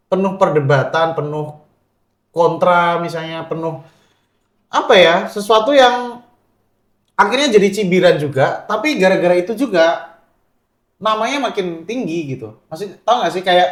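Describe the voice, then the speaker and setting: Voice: mid-range voice, normal speed, pauses often. Playful podcast host in an echoey room. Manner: probing, playful, laughs often. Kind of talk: casual interview.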